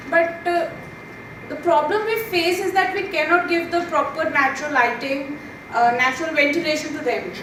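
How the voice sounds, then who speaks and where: A woman asking a question from the audience at a conference, speaking spontaneously. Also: high-pitched. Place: large indoor conference hall. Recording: very echoey, distant hall mic, noisy.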